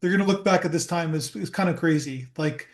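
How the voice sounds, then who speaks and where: A man hosting a podcast interview, relaxed and chatty, encouraging his guest. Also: a little high, fairly quick, a crowd in the background. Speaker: podcast host. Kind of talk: casual interview.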